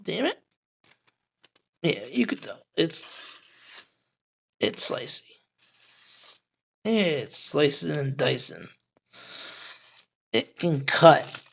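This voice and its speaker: A man with a raspy, hoarse voice, rambling casually about a knife with lots of fillers and swearing. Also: a little high, big pitch swings, drawn-out words, pauses often, a bit bright. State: hoarse at night. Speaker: knife reviewer. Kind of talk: casual talk to camera.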